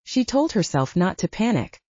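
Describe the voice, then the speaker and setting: A woman's voice: medium pitch, sing-song, moderate pace, dark tone, no echo, dull audio. A woman narrating an audiobook, reading scripted romance fiction.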